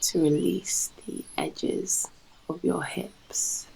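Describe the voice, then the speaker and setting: A British woman guides a meditation in a low, dark voice with a very creaky edge. Her delivery is soft and soothing, leaving long pauses between short phrases. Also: fairly even intonation, slight background noise, rough recording. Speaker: yoga nidra guide and host.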